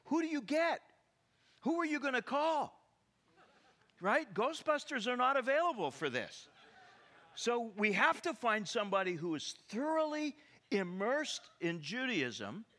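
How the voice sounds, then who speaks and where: A man preaching a sermon, animated and full of stammering restarts and rhetorical questions to the congregation. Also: fairly high pitch. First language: English.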